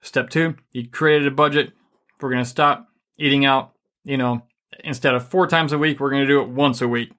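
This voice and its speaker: A man co-hosting a casual faith-based money and marriage talk, energetic and rambling with many fillers. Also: mid-range voice, moderate pace.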